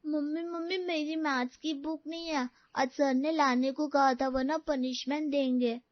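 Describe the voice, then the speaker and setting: High-pitched schoolchild, voiced by a woman. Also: fairly even intonation, measured pace, no room sound, muffled recording. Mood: pleading. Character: complaining schoolchild pleading with mother. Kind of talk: dramatised dialogue in an audio story.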